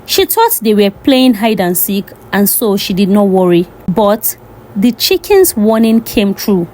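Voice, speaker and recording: A woman's voice: mid-range voice, big pitch swings, unhurried, a little mellow. A woman storyteller reading a scripted folktale narration.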